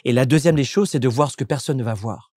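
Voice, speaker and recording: A man's voice: medium pitch, fast talker, darkish tone, breathy edge, dry recording, studio quality. A man from France, a coach and entrepreneur, answering interview questions in a reflective, explanatory way with frequent restarts.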